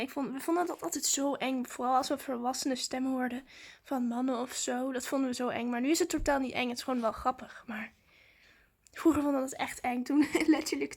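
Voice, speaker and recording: A woman. She talks like a vlogger, in casual, excited chat aimed at her viewers. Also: high voice.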